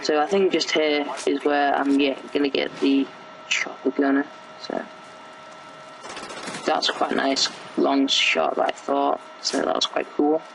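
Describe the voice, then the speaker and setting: A teenage boy with a sore, croaky throat, rambling casually through gameplay commentary with many fillers and restarts. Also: a little high, little pitch movement, measured pace, fairly bright tone, slight background noise, low-quality recording. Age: teens. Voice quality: gravelly.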